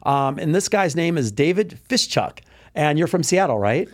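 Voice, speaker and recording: A young adult man from Texas hosting a podcast, chatting quickly with many fillers and restarts. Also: on the high side. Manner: fast, animated, frequent fillers and restarts. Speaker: podcast host. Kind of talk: casual interview chat.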